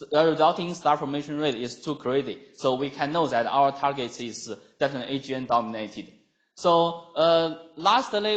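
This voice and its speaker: A man who speaks English as a second language, giving a scientific conference talk in a halting manner with non-native phrasing. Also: medium pitch. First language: not English. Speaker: researcher presenting at a conference. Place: lecture room at a conference.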